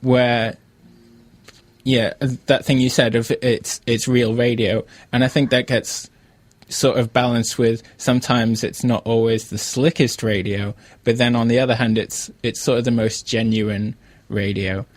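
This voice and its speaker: A man's voice: mid-range voice, level tone, a little slow, no echo. An Australian man hosting a casual interview podcast from a radio studio.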